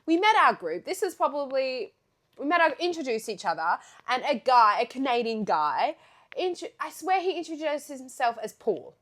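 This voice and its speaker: Animated, high-pitched young Australian woman. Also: moderate pace. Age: young adult. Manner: giggly, animated, slangy, acts out quotes. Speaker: podcast co-host. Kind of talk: casual chat, travel storytelling.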